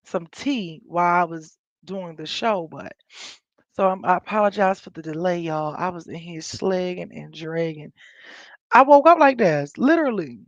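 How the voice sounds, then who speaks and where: An American woman with a raspy, scratchy voice from a coming cold, hosting a live stream in casual, rambling, unfiltered chat with her viewers. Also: mid-range voice, dry recording, dull audio. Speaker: livestream host. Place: indoors at home, in an office.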